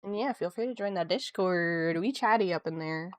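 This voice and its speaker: A woman's voice: lowish voice, normal speed, dry recording. A woman from Ontario, a sniffly art streamer chatting casually with her viewers while she draws. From Canada, Ontario. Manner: chatty, lively, reads chat aloud.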